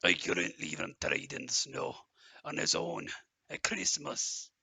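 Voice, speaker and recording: A man playing a distraught, soft-hearted half-giant gamekeeper in an audio drama, with rustic speech and a gravelly, husky voice. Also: low voice, very animated, takes it slowly, no echo, clean studio sound, exaggerated voice. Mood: upset, gloomy.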